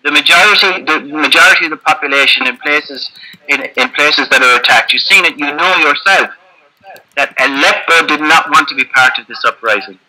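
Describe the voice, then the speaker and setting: A man making an earnest, emphatic case as a panelist in an online debate, slowing down to stress his points over a video call. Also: on the high side, bright, forceful tone, poor audio.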